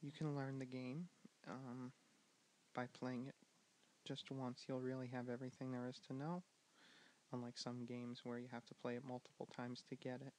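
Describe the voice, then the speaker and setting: A young man with a soft, gentle voice teaching a board game in a soft-spoken ASMR style, slipping in and out of whispers and pausing with ums. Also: medium pitch, monotone, a little hiss or hum, low-quality recording. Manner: drifting in and out of whisper, hesitant with many ums. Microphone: handheld camera mic.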